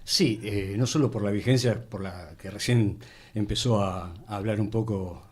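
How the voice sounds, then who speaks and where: A man with an Argentine accent chatting informally as a radio studio guest, pausing to search for words. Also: fairly low pitch, a little mellow, breathy edge. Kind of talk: casual chat.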